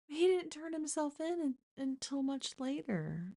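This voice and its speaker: Slow-talking woman reacting out loud. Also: high voice, big pitch swings, music in the background. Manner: blunt, exclaiming, thinking aloud. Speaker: live stream host. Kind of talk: casual reaction commentary to a crime show.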